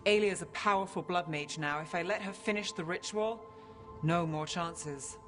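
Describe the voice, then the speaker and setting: An actress playing a guarded, wry, driven detective with a dry, clipped delivery in a game scene. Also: female voice, fairly low pitch, normal speed, faint music behind, close to an everyday voice. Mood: grave. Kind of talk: cinematic video game acting.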